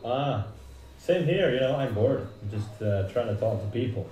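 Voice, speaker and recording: A man's voice: mid-range voice, expressive, unhurried, warm tone, soft background music. Playful young man, distant and echoey. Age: 20s. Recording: distant, echoey clip audio.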